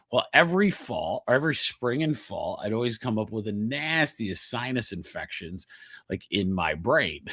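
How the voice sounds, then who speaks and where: A man's voice: on the low side, fairly bright tone, no room sound, studio quality. A middle-aged man hosting a chiropractic health podcast, with a nasal voice, talking in a chatty, rambling way and often checking in with the listener. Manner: chatty, digressive, laughs often, checks in with "okay?". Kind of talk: casual health talk.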